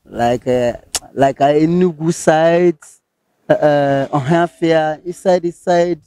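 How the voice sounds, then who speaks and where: A man's voice: a little high, slow, warm tone, smooth, dry recording. A man from Rivers State, Nigeria, speaking with a Nigerian Pidgin accent and answering hesitantly, with many fillers and restarts. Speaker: interviewee. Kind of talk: casual street interview.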